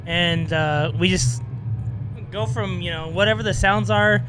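A man, a band's bass player, talking casually and rambling, swearing often. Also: a little slow, background noise. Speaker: band bassist, guest. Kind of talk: casual interview.

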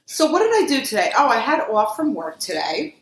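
A woman's voice: on the high side, sing-song, brisk, roomy sound. A woman with a New York accent co-hosting a casual podcast, sassy, teasing and blunt. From Queens, New York. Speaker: podcast co-host.

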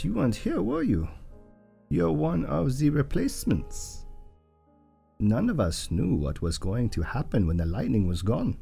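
An actor playing a reflective professor in an audio drama, with theatrical, drawn-out phrasing. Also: male voice, mid-range voice, gravelly, dark tone, slightly rough, exaggerated voice. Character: reflective male professor leading study of an anomaly.

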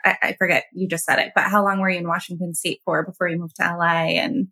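A woman co-hosting a podcast, asking questions and chiming in with quick affirmations. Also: fairly low pitch, level tone, sharp tone, breathy edge. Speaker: podcast co-host. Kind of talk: casual conversation.

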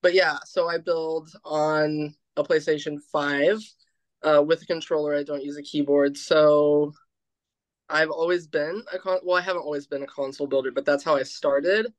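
A woman's voice: sits low, steady tone, normal speed, no room sound. A woman guest introducing herself in a casual podcast, hesitant with many ums. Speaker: podcast guest, content creator. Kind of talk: casual chat.

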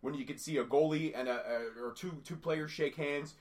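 Raspy man talking wrestling on a podcast. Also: fairly high pitch, a little fast. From Canada. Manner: talkative, rambling, animated. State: raspy from a cold. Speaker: podcast co-host. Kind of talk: casual wrestling podcast chat.

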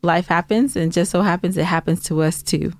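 A woman's voice: lowish voice, steady tone, a little fast, no echo. A woman co-hosting a podcast in casual, playful, teasing chat. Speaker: podcast co-host.

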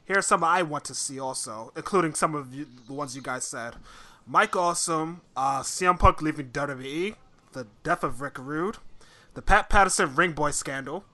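A man's voice: a little high, normal speed, bright, forceful tone. A man co-hosting a podcast, chipping into casual chat. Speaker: podcast co-host. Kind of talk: casual wrestling talk.

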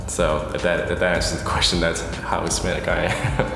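A young man from Texas who talks in a deep, fairly monotone voice, in relaxed slangy chat with frequent laughs. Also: fairly quick, creaky, heavy reverb, in a large hall, over music. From Texas, USA. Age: young adult. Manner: laid-back slangy talk, laughs often. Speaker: podcast guest. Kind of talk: casual chat.

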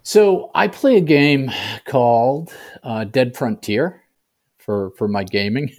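A man's voice: mid-range voice, lively intonation, slow, darkish tone. A middle-aged man being interviewed as an author, talking casually and often checking in with the listener. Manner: conversational, often tags sentences with "right?".

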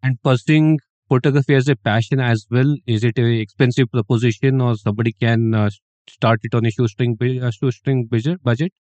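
A man's voice: mid-range voice, fairly even intonation, a little fast, a little mellow, dry recording. A man hosting an interview, asking long, hesitant questions. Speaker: podcast host. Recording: dry, dull lo-fi audio.

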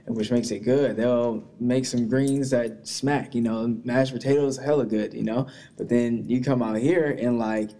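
A man's voice: mid-range voice, steady tone, measured pace, hardly any pauses, mellow. A young man from Texas, a musician guest on a podcast, talking in a laid-back, rambling way with lots of fillers. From Texas, USA. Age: young adult. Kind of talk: casual conversation.

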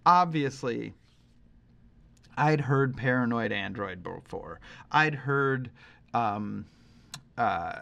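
Male podcast host, forties, average pitch. Conversational music talk, opinionated and emphatic, wanders through his points. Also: animated, slow pace, gravelly, pauses often, sharp tone, highs cut off. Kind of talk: casual conversational music discussion.

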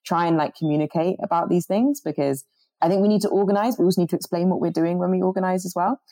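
A young woman with a low, mellow voice talks earnestly about her organizing over a video call. She restarts her sentences often as her thoughts run ahead, and the sound is dry and close. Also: fairly even intonation, fast talker, dry recording. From born in Jamaica, grew up in the UK. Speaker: climate organizer guest.

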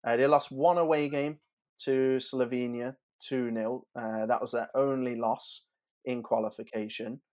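A man's voice: medium pitch, steady tone, a little slow, a little mellow. A man in his thirties chatting informally and a little hesitantly as a podcast host previewing sport. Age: 30s. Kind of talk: casual football preview chat.

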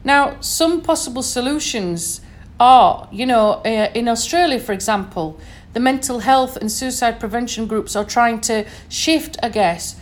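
A woman with a Sheffield accent speaks in a fairly high voice, talking earnestly to her listeners like an educator. She keeps checking in with a quick "okay?" as she works through her points. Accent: Sheffield English. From Sheffield, northern England. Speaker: educator giving a talk. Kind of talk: informal recorded talk to listeners.

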